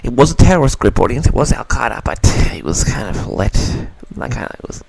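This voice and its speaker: A man's voice: mid-range voice, sing-song, quick pace, creaky, husky. A man hosting a casual audio log, reading out audience questions and answering them in a theatrical, mock-grand manner.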